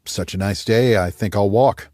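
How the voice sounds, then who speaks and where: Male narrator as undercover agent, composed, courteous, easygoing, low voice, thriller audiobook. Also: normal speed, a little mellow, no echo, studio quality, lightly acted.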